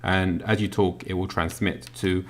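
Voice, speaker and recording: A British man casually walking through an unboxing, talking as he handles the items with lots of ums and pauses. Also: deep voice. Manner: relaxed, filler-heavy, talks while handling items. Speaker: product reviewer.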